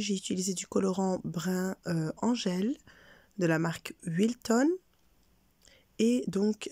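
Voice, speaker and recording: Female cake tutorial host, congested from a cold, low mellow tone, hesitant, friendly. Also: no echo. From Belgium. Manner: hesitant, many "euh" fillers, friendly explanatory. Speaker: cake decorating channel host. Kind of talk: casual cooking tutorial voice-over.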